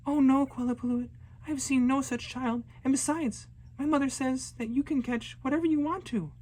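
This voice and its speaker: A man doing a bold cheeky little girl. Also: normal speed. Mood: sweet-talking. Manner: high, loud and excited, cheeky. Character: bold, boastful young girl.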